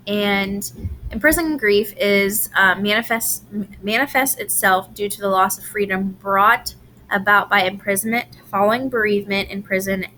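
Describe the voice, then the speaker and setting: A woman giving a recorded lecture presentation, unhurried with frequent "um"s and restarts, her voice a little strained from allergies. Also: mid-range voice, bright, forceful tone, slight background noise, low-quality recording. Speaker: presenter on grief and incarceration.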